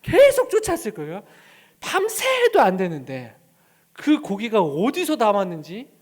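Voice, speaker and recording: Animated high-voiced male preacher. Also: unhurried. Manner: animated storytelling, asks the congregation questions; acts out scripture scenes with voices. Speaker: pastor. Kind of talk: sermon.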